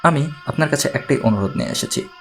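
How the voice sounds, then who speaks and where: A man playing a troubled, courteous man who gravely recounts his own strange story in an audiobook. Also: medium pitch, wildly swooping pitch, fast, faint music behind. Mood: pained, restrained.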